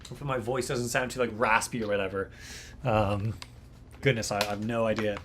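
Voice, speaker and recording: A man's voice: lowish voice, fairly even intonation, a little fast, a bit bright, a little hiss or hum. A young man hosting a live mixing stream, chatting casually and rambling with lots of fillers and repeated words. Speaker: livestream host, mixing engineer. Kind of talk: casual livestream talk.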